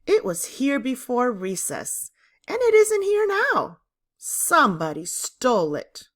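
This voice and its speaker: Woman playing an indignant, insistent schoolgirl, swooping pitch. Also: wildly swooping pitch, slow pace, full character voice. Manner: clear, insistent, impatient. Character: indignant, outspoken fifth-grade schoolgirl.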